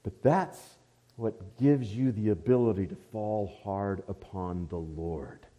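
A man's voice: fairly low pitch, slow, dark tone. A man speaking as a pastor at a church service, introducing visiting missionaries with light joking.

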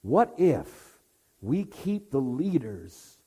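Male preacher for expository sermon. Medium-pitched voice, very lively rise and fall, emphatic phrasing with rhetorical pauses. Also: husky.

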